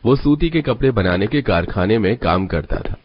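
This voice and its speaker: A man's voice: lowish voice, animated, normal speed, dull audio, a little hiss or hum. A man reading a scripted family story as its narrator.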